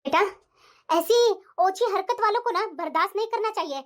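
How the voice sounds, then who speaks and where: A woman's voice: very high voice, moderate pace. A woman playing an indignant older mother-in-law in a family melodrama. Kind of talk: melodramatic family story dialogue.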